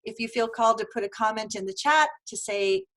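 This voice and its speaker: A woman's voice: a little high, fairly quick, a bit bright. A woman hosting a live webinar over a video call, upbeat and effusive as she introduces her guest. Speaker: host.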